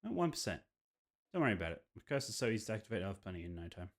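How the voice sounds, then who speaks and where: A man's voice: fairly low pitch, sing-song, racing. A man reading aloud a cocky, boastful rival curse bearer from a horror mystery visual novel, with taunting menace. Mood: boastful, defensive.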